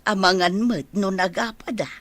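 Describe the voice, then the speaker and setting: A woman's voice: mid-range voice, moderate pace, a bit bright, natural voice. A woman playing a homely, fussing older mother in an audio drama. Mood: fussing, concerned.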